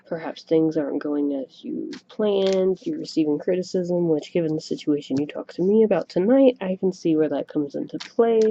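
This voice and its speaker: Soft-spoken woman, gravelly and dull. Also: low-pitched, moderate pace, hardly any pauses, a little hiss or hum. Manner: chatty, self-conscious asides. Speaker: tarot reader. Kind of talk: casual solo reading to camera. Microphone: webcam microphone. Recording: dull lo-fi webcam mic recording.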